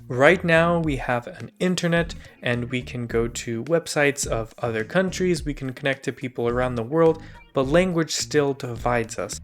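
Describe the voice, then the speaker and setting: A man with a slightly higher than average voice narrates in a measured, even way. The delivery sounds scripted and reflective, like a vlog voice-over. A faint guitar track plays underneath. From Bulgaria. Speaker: language learning YouTuber.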